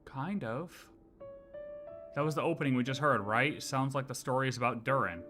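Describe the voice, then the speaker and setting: Male game streamer, casual and wry, reacting live in halting asides, prominent soundtrack underneath. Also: medium pitch, bright, forceful tone. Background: game music.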